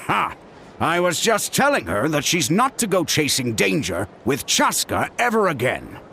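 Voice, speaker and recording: An actor playing a caring middle-aged uncle who gently scolds his niece in a video game. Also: fairly high pitch, unhurried, vocal fry, slight background noise, heavily acted. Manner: warm-hearted scolding, expressive. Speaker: game character. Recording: game audio with soft music.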